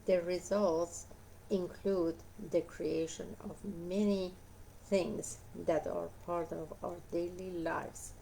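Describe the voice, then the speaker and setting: A woman with a hoarse voice reads a nonfiction book aloud, pausing often on "uh" and going back to fix her words. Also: fairly low pitch, level tone, dark tone, a little hiss or hum, rough recording. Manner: halting reading with frequent uh fillers and self-corrections. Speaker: book reader.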